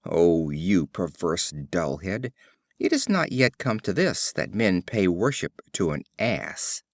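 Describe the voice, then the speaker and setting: A man doing a gruff, scornful cart driver. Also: sits low, very animated, takes it slowly, no echo, studio quality, voice pushed down, exaggerated voice. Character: stern scolding male ass driver.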